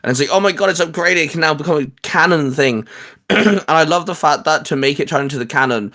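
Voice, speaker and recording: A man hosting a casual geek chat in a halting, repetitive way, often stumbling over the starts of words. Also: fairly high pitch, sharp tone. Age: adult, a parent. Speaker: host.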